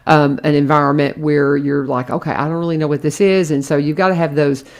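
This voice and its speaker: A chatty woman hosts a radio talk segment. Her voice sits a little low for a woman, and she rambles cheerfully, restarting her sentences as she goes. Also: fairly even intonation, fairly quick. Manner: chatty, warm-hearted rambling with many restarts. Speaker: radio show host. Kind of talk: casual radio interview chat.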